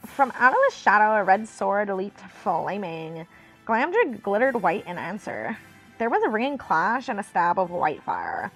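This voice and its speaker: A woman's voice: mid-range voice, sing-song, a little slow, fairly bright tone, faint music behind, heavily acted, poor audio. A woman voicing a ditzy dumb-blonde girl reading an epic fantasy storybook, every phrase lilting up like a question. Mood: excited, dramatic. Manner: airy, questioning upspeak.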